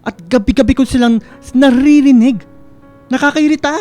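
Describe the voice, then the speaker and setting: A man's voice: very high voice, normal speed, a little mellow. An actor playing a brooding, lovesick young male student in a radio drama. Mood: angry outburst. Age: young adult. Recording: background music under the dialogue.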